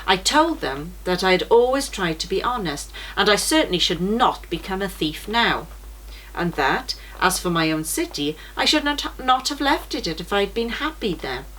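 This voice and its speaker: A woman's voice: mid-range voice, big pitch swings, moderate pace, fairly bright tone, low-quality recording. A woman voicing a brave, earnest male pilgrim swordsman in a children's storybook. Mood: earnest, proud. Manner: earnest storybook narration of his fight. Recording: noticeable background noise.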